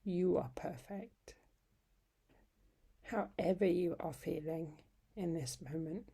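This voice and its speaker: A woman leading a guided meditation as a yoga nidra instructor, soft, breathy and husky, soothing and unhurried, with long pauses between short phrases. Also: lowish voice.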